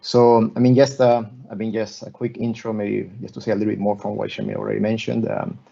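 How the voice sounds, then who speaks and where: A man, giving an online lecture as a guest architect, talking haltingly with many restarts and repeated words. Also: lowish voice, flat delivery, creaky, warm tone, husky. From Peru. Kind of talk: online lecture presenting slides. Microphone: video call. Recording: muffled video call audio.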